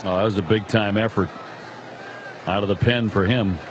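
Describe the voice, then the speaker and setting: A man's voice: on the low side, fairly even intonation, normal speed, highs cut off. A man giving live commentary as part of a baseball broadcast. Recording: faint stadium noise behind voice. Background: faint ballpark ambience.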